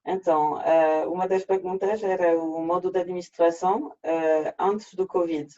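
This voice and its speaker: A man with a French accent, native French speaker, presenting an online workshop in a halting way with frequent restarts, over a video call. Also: sits high, fairly even intonation, warm tone. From France. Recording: dull lo-fi video call audio.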